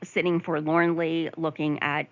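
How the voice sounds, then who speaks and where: A woman instructor giving a conversational recorded lecture over slides, with frequent ums, asides, and throat clearing. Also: low-pitched, flat delivery, unhurried, dry recording, highs cut off. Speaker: nursing instructor.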